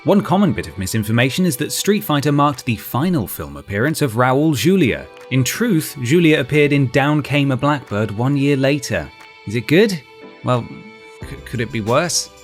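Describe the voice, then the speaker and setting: A man's voice: medium pitch, very animated, moderate pace. A man reading scripted narration for a ranked list, with a wry, dry sense of humour. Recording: music behind the voice. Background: background music.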